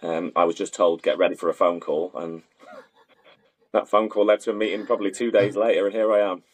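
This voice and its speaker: A man's voice: low voice, fairly even intonation, a little fast, husky, dry recording. A man from North Wales talking casually and matter-of-factly as an interview guest, a sports coach. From Wrexham, North Wales. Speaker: guest, football strength and conditioning coach.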